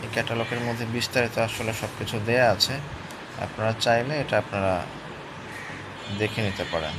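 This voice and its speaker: Man reviewing over clear background noise. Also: medium pitch, steady tone, fairly quick. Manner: explanatory, often checks in with listeners. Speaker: product reviewer. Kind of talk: casual hands-on product review.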